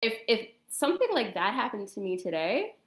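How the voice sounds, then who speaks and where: A woman of medium pitch talks as a show guest in a casual storytelling style. Her phrasing is informal and a little hesitant. The room echoes clearly around her voice. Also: big pitch swings, a little fast.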